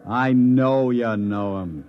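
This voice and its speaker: An actor playing a matter-of-fact newsroom colleague, dry and brisk, in old-time radio drama style. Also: male voice, medium pitch, natural voice. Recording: muffled, faintly noisy vintage radio recording.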